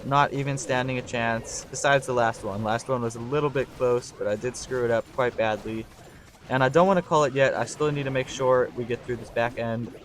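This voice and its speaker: A slightly hoarse young man giving live commentary on a strategy game, talking through his thinking and getting excited on big plays. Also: mid-range voice, brisk, slight background noise. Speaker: gaming channel host.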